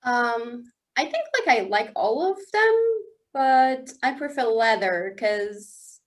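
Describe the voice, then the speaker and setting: Halting woman, fairly high voice. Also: expressive. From southern Germany. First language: Swiss German. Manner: halting, searching for English words, laughs often. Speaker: interview guest. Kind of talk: casual interview chat. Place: indoor studio under lights.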